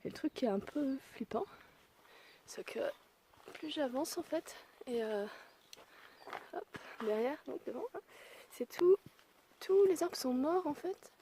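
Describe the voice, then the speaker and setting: A young woman talks to a handheld camera as she hikes a mountain trail, her voice hoarse from a cold and a little winded. Her tone is mellow and she thinks aloud with easy self-deprecation. Faint outdoor sound sits behind her. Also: high-pitched, expressive, measured pace, pauses often, slight background noise. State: out of breath. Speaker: hiker vlogging a mountain trail. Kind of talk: casual spoken travel vlog.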